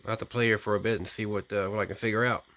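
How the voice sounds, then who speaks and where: Fast-talking man thinking aloud. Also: fairly low pitch, steady tone, fairly bright tone. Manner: rambling, technical, thinking aloud. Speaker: radio hobbyist video host. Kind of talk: unscripted product demonstration.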